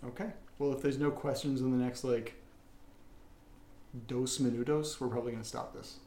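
A man's voice: mid-range voice, fairly even intonation, moderate pace, dark tone. A man hosting a live podcast Q&A, chatting casually with the others. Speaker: podcast host, audio drama creator. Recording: roomy echo.

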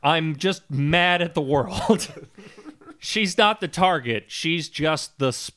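A man's voice: on the high side, expressive, slow, a bit bright. A man co-hosting a comedy podcast with sarcastic, mock-indignant, exasperated banter. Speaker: podcast co-host.

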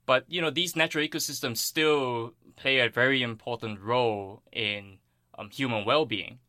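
A man's voice: mid-range voice, moderate pace, pushed and bright, no echo, studio quality. A man from Singapore giving earnest, thoughtful explanations as an interviewed plant ecologist.

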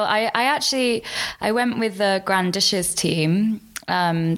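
A woman in her thirties, from the UK and Greece, chats easily in a low, relaxed register as an interview guest. She tells her story freely and laughs at herself often. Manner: chatty, laughs often, self-deprecating. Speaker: guest, food and travel writer. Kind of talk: casual interview chat.

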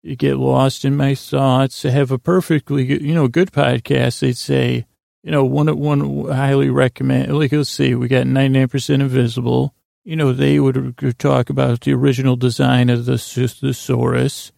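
A man hosting a podcast, rambling in a halting, self-deprecating, whimsical monologue that keeps wandering off topic, with a gravelly, creaky voice. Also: medium pitch, flat delivery, a little mellow, dry recording, clean studio sound. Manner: meandering, halting, goes off topic mid-sentence. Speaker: podcast host.

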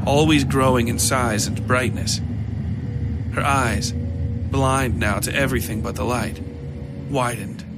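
A man narrating a dark fiction story in a deliberate, theatrical way. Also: mid-range voice, heavy background noise. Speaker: narrator of a horror fiction podcast. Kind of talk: scripted dramatic story narration. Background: music behind the voice.

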